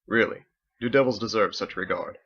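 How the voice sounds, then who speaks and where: A man's voice: lowish voice, brisk, a bit bright, breathy edge, lo-fi sound. A man voicing a sly young male student who needles his rival in a smug, teasing way, in a story reading.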